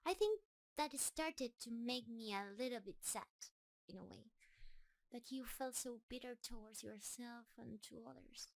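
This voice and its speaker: A woman's voice: a little high, vocal fry, rough recording. A woman playing a shy, lovestruck giant spider creature in a roleplay audio drama, speaking tenderly and hesitantly to the listener. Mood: gentle, wistful. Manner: nervous, hesitant, tender, halting.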